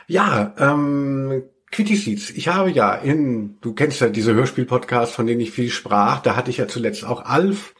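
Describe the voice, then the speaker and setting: A man's voice: medium pitch, expressive, measured pace, fairly bright tone. Rambling man chatting on a podcast. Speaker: podcast co-host. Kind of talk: casual chat between friends.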